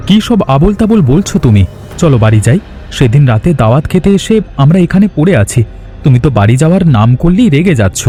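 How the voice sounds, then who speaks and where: A man's voice: fairly high pitch, very animated, quick pace, warm tone, faint music behind. A man voicing an exasperated young husband. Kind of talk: cartoon voice acting.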